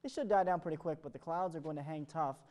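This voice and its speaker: A man's voice: fairly high pitch, fast, a little mellow. An American man presenting an ad-libbed television weather forecast in an upbeat, chatty way.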